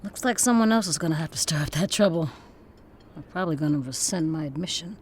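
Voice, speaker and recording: An actress playing a proud, defiant young student activist in an audio drama, with an American accent and an articulate, husky delivery. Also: female voice, low-pitched, animated, fast talker, a little hiss or hum. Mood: dejected. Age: young adult.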